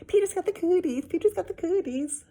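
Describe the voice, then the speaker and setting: A woman voicing a bossy, shrill young girl who quickly turns whiny and tearful. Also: mellow, slight background noise. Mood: taunting.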